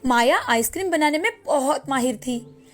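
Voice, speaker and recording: A woman's voice: high-pitched, lively intonation, moderate pace. A woman narrating a scripted moral story as a storyteller. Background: music.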